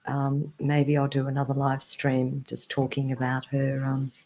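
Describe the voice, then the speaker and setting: A woman with an Australian accent opening a live stream with a croaky, hoarse voice from a cold, soft and halting with many ums. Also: a bass voice, completely flat delivery, rough recording.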